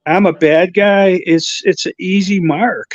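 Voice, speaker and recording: Halting man with a slight Chicago accent. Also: sits high, steady tone, no echo, highs cut off. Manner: halting, full of uhs and restarts. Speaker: guest co-host. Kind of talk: casual conversation.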